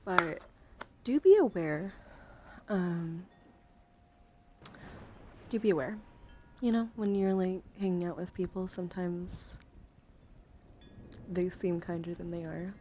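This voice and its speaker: A woman livestreamer talking in a casual, rambling ASMR style, with a soft voice that often slips toward a breathy whisper, close to the microphone. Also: fairly low pitch, slow, long pauses, slight background noise. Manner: rambling, informal. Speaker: livestreamer showing card decks.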